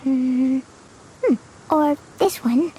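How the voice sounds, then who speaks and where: A woman's voice: sits high, drawn-out words, dark tone, slight background noise. A woman voicing a cheerful, innocent and rash little girl in a video game, with childlike, simple phrasing. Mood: eager, searching. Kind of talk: scripted video game voice acting. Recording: studio voice over game music.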